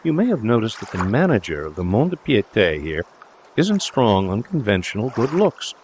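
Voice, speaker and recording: A man voicing a breezy, confident young gentleman suitor in an audiobook, speaking in a jaunty, reassuring, chatty way. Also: lowish voice, wildly swooping pitch, normal speed, a little hiss or hum. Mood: chatty, confiding. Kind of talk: audiobook character dialogue.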